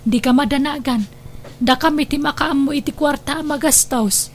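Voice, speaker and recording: A woman's voice: high voice, moderate pace, natural voice. A woman acting a caring mother-in-law who frets over her wayward son in an Ilocano radio drama, with a Filipino Ilocano accent. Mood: caring, reassuring.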